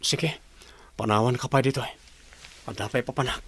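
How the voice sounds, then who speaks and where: An actor with an Ilocano accent playing a lovesick, self-pitying boss in a radio drama. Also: male voice, mid-range voice, steady tone, moderate pace, a bit bright, slight background noise, close to an everyday voice. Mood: hurt.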